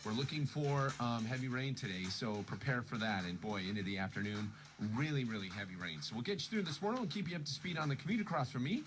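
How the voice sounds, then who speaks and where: An American man hosting a morning radio show in unscripted chat, telling stories and acting out reactions in an animated way. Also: medium pitch, quick pace, music in the background. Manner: animated storytelling, voices quoted reactions. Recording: noisy recording of radio playback.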